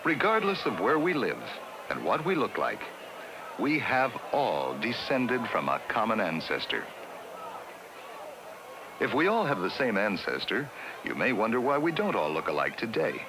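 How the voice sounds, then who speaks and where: A man giving measured, scripted narration for a 1960s classroom educational film. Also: on the low side, huge pitch swings, background noise. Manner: measured, explanatory.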